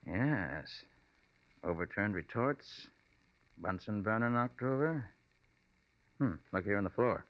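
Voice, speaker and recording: An actor playing a confident, protective private detective in a brisk, commanding 1940s radio drama style. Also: male voice, fairly low pitch, expressive. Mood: intent, deductive. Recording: muffled old-time radio recording.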